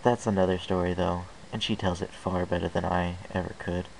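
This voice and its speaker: Male amateur story narrator, lowish and gravelly, reading in first person over faint hiss. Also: little pitch movement, a little fast, low-quality recording. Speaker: amateur fan fiction reader. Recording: hissy amateur home recording.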